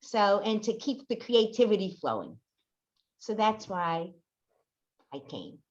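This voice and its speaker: A woman's voice: medium pitch, very animated, slow pace, darkish tone. An older woman sharing personal reflections as a workshop participant on a video call. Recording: dull lo-fi call audio.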